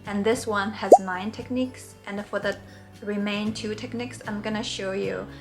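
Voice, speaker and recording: Gentle Chinese-born woman teaching knitting. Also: mid-range voice, fairly even intonation, normal speed, over music. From China. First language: Mandarin. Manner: gentle, earnest and encouraging. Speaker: knitting tutorial host. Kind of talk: friendly instructional talk.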